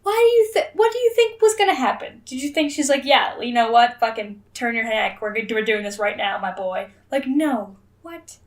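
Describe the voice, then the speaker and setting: A woman's voice: a little high, animated, normal speed, hardly any pauses. A woman co-hosting a podcast with casual comedic banter, blunt, sarcastic and freely sweary. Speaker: podcast co-host.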